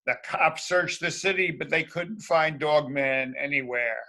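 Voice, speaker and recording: Slow, bright-voiced man reading aloud. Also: on the high side, gravelly. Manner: deliberate, emphatic, expressive. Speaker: children's storybook reader. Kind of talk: read-aloud story for schoolchildren.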